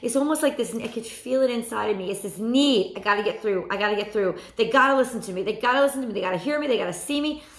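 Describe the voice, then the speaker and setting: A woman in her thirties with a hoarse voice, giving a chatty weekly tarot reading to a webcam at home. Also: a little high, quick pace, hardly any pauses, echoey room. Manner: animated, repeats phrases, chatty asides. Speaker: tarot reader. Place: small room at home.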